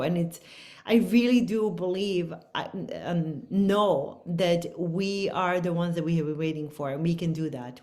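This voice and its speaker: A woman's voice: on the low side, a little mellow. A woman from Bosnia with a Bosnian accent, speaking earnestly and expansively as a podcast guest. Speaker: guest author. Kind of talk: casual podcast conversation.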